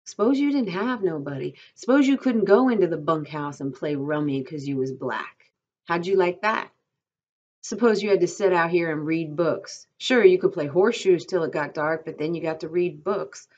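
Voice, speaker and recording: A lonely stable hand played by a woman reading aloud who needles and broods in rustic dialect. The voice is rough-edged and husky, with bitterness under it. The sound is muffled and lo-fi. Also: on the low side, normal speed, darkish tone, natural voice. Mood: bitter, lonely.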